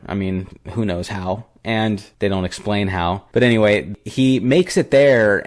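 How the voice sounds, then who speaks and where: Young male podcast co-host, low voice, casual and filler-heavy. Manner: rambling, filler-heavy retelling. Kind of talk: casual movie review chat.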